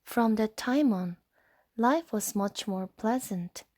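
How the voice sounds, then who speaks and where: Slow, high-voiced woman reading a bedtime story. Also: mellow, no echo, clean studio sound.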